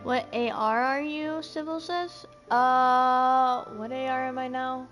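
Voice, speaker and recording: A woman with a high voice chats casually through a game stream. She thinks aloud and slips into little sing-song filler sounds, with faint game music behind her. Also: fairly even intonation, slow pace, fairly bright tone. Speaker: livestreamer playing a story game.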